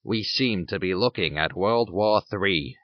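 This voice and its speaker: A man's voice: low voice, measured pace, no echo. A man playing an urgent, patriotic war-time radio announcer reading theatrical scripted news bulletins. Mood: solemn. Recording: dull band-limited radio broadcast sound.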